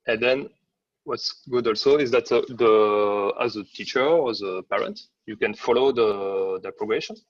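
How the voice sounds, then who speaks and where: Halting low-voiced man on a muffled distant mic. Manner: halting, many fillers and restarts. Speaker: webinar presenter. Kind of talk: software demo presentation. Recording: dull, distant, dry sound.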